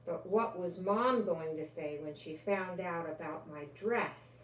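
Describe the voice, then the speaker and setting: An older woman reads a children's story aloud in a slow, expressive way, pausing to add her own comments. She sounds a little far from the microphone in an echoing room, with faint noise behind her. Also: fairly low pitch. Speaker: grandmother reading a children's book aloud. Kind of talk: storybook read-aloud with asides. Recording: roomy, distant microphone, faint background noise.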